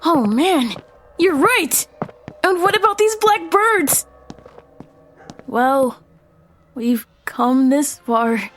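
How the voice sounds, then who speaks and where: A woman's voice: high voice, lively intonation, extremely slow, long pauses, faint music behind, full character voice. A woman playing an earnest, kind, jittery middle school boy in an audio drama, with hesitant, slangy phrasing. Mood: uneasy.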